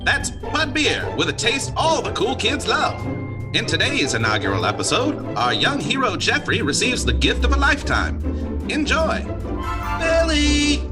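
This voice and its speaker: A man's voice: very high voice, huge pitch swings, measured pace, loud music behind the voice, full character voice. A man playing a peppy, showy, wholesome announcer of an old-time children's radio serial. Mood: cheerful showy. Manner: exaggerated, showy.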